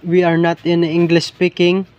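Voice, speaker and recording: A man from the Philippines with a Hiligaynon-flavored Filipino accent and Hiligaynon as his first language, narrating over nature footage in English, halting and repeating words as he hunts for the right phrase. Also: fairly high pitch, monotone, muffled recording, a little hiss or hum. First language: Ilonggo (Hiligaynon). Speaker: vlogger filming larvae in a field.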